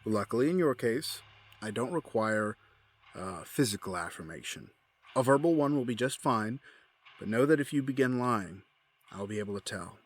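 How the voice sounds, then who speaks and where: An actor in an audio drama playing a cold, courteous, calculating crime boss with formal, polished phrasing. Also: male voice, medium pitch, animated, normal speed, close to an everyday voice. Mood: measured, probing. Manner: formal, urbane, elaborate wording.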